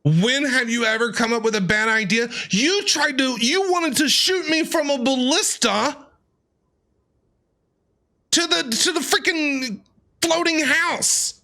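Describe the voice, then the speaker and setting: A man's voice: very high voice, measured pace, pushed and bright. A man doing casual game reaction commentary, exclamatory and playfully exasperated with the characters as he streams.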